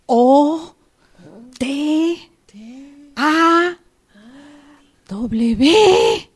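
A woman's voice: sits high, animated, very slow, exaggerated voice. A woman acting a furtive, foul-mouthed student cheating in an exam in an amateur radio drama, whispering insistently. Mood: furtive, irritated.

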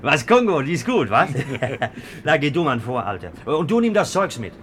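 Cocky, mocking prodigal son. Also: male voice, on the high side, big pitch swings, moderate pace, a bit bright. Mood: teasing, mocking. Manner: brash, sardonic, colloquial. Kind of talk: German radio play acting. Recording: noisy old radio recording.

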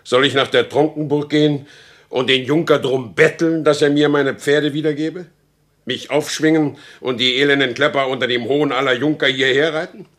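An actor playing a proud, stubborn, wronged horse dealer in a radio drama, speaking in formal, insistent old-fashioned language. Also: male voice, mid-range voice, fairly even intonation, moderate pace, fairly bright tone, lightly acted. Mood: bitter, defiant.

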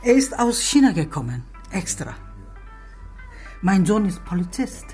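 A woman's voice: mid-range voice, very animated, a little slow, warm tone, soft background music. An actress playing a proud, insistent middle-aged mother of a grown son in a radio crime drama.

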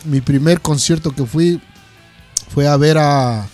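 A man chatting casually as a programme host, haltingly and often repeating words. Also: fairly high pitch, a little mellow, soft background music. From Ecuador. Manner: hesitant, repeats words as they go. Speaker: host of a heavy metal radio programme. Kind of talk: casual interview chat.

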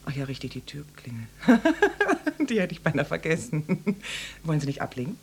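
An actress playing a flustered, flirtatious housewife in her mid-thirties in a radio play, hesitant and stammering, with breathy asides. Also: low-pitched, wildly swooping pitch, moderate pace, exaggerated voice. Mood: nervous, surprised. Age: 30s.

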